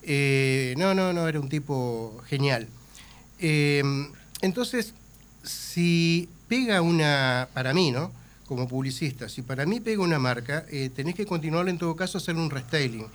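A man's voice: a little high, animated, normal speed, a little hiss or hum. A man with an Argentine Spanish accent and a flu, talking as an advertising guest in a rambling way with many fillers. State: has the flu. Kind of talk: casual radio chat.

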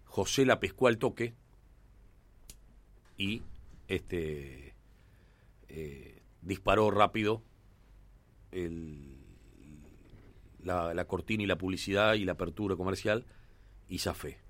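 A man with an Argentine Rioplatense accent hosts a sports radio show from the studio. His voice sits on the low side and comes through dry and close on a studio microphone. He talks conversationally and fills his pauses with "eh" and "este". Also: a bit bright, no echo. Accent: Argentine Rioplatense Spanish. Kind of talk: sports radio talk and commentary.

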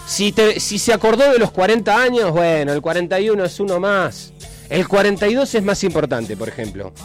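Man, Rioplatense accent, radio host, high voice, playful, excitable, faint music behind. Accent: Rioplatense Spanish. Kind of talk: excited live radio call-in show.

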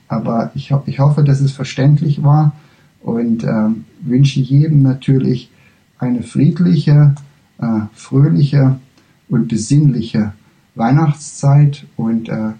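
A man whose first language is English, closing a spiritual lecture in halting German with many restarts. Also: medium pitch, warm tone, rough recording.

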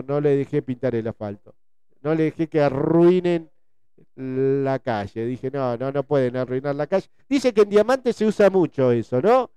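A man's voice: a little high, mellow, smooth, dry recording. A man in his forties with a Rioplatense Spanish accent, chatting casually as a host with his audience in a repetitive, conversational way. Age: 40s. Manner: repetitive, conversational, often seeking agreement with tag questions. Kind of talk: casual live chat, reading listener messages.

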